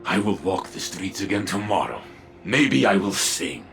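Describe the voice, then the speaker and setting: An actor playing a weary, humble, kindly old war legend in a video game, speaking in slow, reflective, gentle phrases. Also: male voice, deep voice, big pitch swings, vocal fry, soft background music, exaggerated voice. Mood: gently hopeful. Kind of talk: video game story dialogue.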